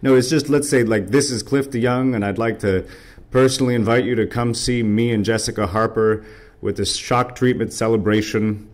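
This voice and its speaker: An American man conducting a casual interview, effusive like a fan, with hesitant, halting phrasing. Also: fairly low pitch, steady tone.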